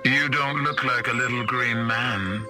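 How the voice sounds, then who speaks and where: A man playing a mysterious, smug masked government villain in a video game, speaking in a measured manner. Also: mid-range voice, steady tone, over music, natural voice.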